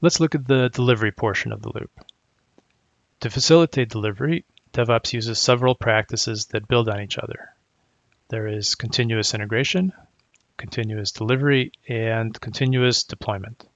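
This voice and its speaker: Middle-aged man from Croatia, gravelly voice, methodical tech presenter, dry and slightly dull recording. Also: medium pitch, no room sound. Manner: measured, explanatory, methodical. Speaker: technical solutions architect presenting. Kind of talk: prepared conference presentation.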